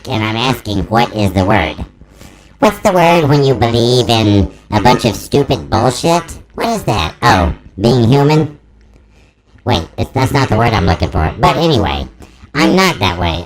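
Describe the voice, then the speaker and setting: A man in his forties rambling through a deadpan, profane improvised comedy monologue, his voice run through a pitch-shifting voice transformer. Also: poor audio. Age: 40s. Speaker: comedic host demonstrating a voice-effect device.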